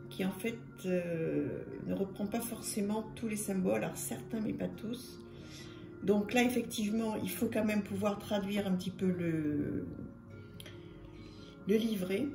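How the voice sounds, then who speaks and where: A woman's voice: mid-range voice, gravelly. A woman hosting her tarot channel, showing a deck in a casual, chatty way with many fillers and repeated words. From France. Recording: echoey room with music behind. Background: soft music.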